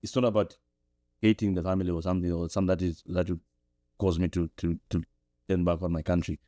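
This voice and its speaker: A man's voice: fast talker, no room sound, clean studio sound. A young man from Zambia, a podcast guest, reflecting thoughtfully with frequent restarts. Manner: thoughtful, many restarts, rises in pitch when animated. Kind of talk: reflective interview talk. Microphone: studio podcast mic.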